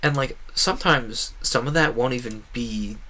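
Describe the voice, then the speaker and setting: A man's voice: medium pitch, moderate pace, pushed and bright. A man giving casual gameplay commentary as a streamer, thinking aloud in a wordy, meandering way. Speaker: game streamer.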